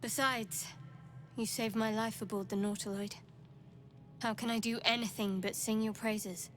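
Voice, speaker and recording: A woman's voice: a little high, steady tone, measured pace, a bit bright. An actress playing a guarded, secretive young adventurer woman in a fantasy video game, reserved and dryly wry. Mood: dry wit. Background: game music.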